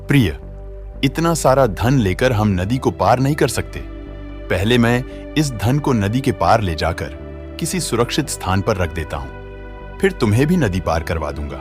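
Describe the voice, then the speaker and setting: A man playing a young, flattering, scheming village swindler in a cartoon moral story. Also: fairly low pitch. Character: smooth-talking young village swindler wooing a wife.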